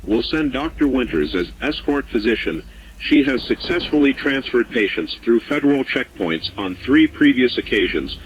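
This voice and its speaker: A man's voice: medium pitch, expressive, normal speed. A man playing a sober underground clinic operator in an audio drama, giving terse, formal, factual reports over a radio filter. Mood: grave, factual. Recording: dull radio signal with faint noise.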